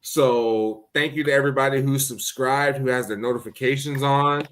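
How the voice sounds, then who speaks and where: A man hosting a casual podcast, relaxed, with filler ums as he wraps up the show. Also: mid-range voice, normal speed, a little hiss or hum. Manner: relaxed, with filler ums while listing thank-yous. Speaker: podcast host. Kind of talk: casual podcast chat and sign-off.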